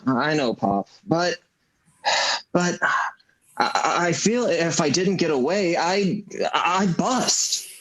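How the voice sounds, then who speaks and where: A man's voice: fairly high pitch, expressive, slow, a bit bright, low-quality recording. An actor playing a restless, good-natured young small-town man with a hesitant, stammering delivery in an old-time radio play. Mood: earnest, restless. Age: young adult.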